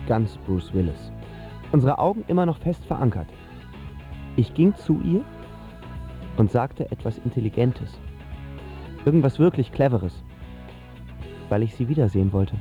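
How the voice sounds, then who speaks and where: A smitten teenage boy narrates a radio drama in a mid-pitched voice. He is colloquial and emphatic, self-deprecating while trying to be cool. The sound is narrow like a phone, with guitar music clearly behind him. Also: big pitch swings, unhurried, pauses often, dark tone. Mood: smitten, casual.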